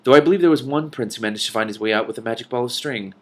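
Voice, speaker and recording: A man's voice: medium pitch, rapid-fire, lightly acted. A man voicing a matter-of-fact female dragon from a storybook, explaining things with dry, scornful authority.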